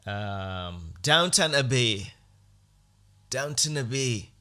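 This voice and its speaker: A man's voice: medium pitch, lively intonation, extremely slow, pushed and bright. A man hosting a podcast, talking in a blunt, sarcastic rant. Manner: blunt, ranting, sarcastic, profane. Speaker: podcast host. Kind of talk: casual opinionated podcast monologue.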